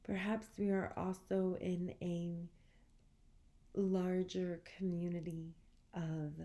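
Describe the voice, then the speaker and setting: A woman instructor leads a relaxation meditation in a soothing, measured manner. Her voice is mellow and somewhat low, with an even, narrow melody. She leaves long, gentle pauses between short phrases.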